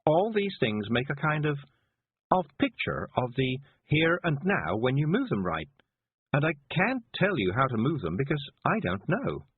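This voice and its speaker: A man voicing a kindly, patient woman witch who explains gently, with a husky voice. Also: fairly high pitch, very animated, normal speed, no room sound, pitched above the performer's own voice, heavily acted. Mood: explaining. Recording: dry tinny phone-like sound.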